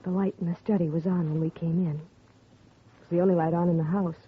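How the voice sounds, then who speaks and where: An actress playing a grieving young woman whose father has been murdered, speaking softly and earnestly in a 1950s radio drama. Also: lowish voice, fairly even intonation, a little fast, slightly rough. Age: young adult. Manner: soft and earnest, recounting quietly. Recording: tinny lo-fi 1950 radio broadcast with faint hiss.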